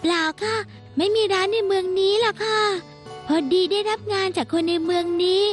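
A gentle, earnest young seamstress in a cartoon, speaking softly and politely. Her voice is very high and bright, and a clear music bed plays behind her. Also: brisk. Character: sweet young seamstress shop girl, earnest. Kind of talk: Thai dub of a Japanese cartoon.

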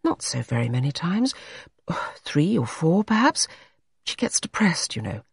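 A reader voicing an anxious, meek woman in an audiobook story, halting and apologetic as she tries to please. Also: medium pitch, very animated, darkish tone, breathy edge, no echo, studio quality, voice pushed up, exaggerated voice. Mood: pleading, uneasy.

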